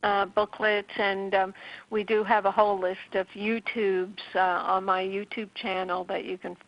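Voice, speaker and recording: A woman from Newfoundland talks a caller through advice in a brisk, practical way. Her pitch stays flat and even. The sound is dry and close, with a dull lo-fi radio tone. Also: dry recording. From Canada, Newfoundland. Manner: brisk, advising, many restarts. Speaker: radio show host. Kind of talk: casual call-in radio advice.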